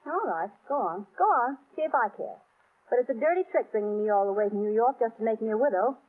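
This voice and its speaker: An old, narrow-sounding radio recording carries a detective's devoted wife. Her voice is high, and she teases and insists with playful, scolding spirit in 1930s radio style. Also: lively intonation, fast talker. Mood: teasing. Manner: bantering, insistent, mock-scolding.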